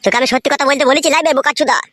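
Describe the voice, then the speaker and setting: Man doing a rapid-fire, high-pitched hot-headed cartoon guy. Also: pushed and bright, no echo, clean studio sound. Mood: angry. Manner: foul-mouthed, belligerent. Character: stubborn, lovesick, hot-headed married young man. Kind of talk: crude comedy cartoon dialogue.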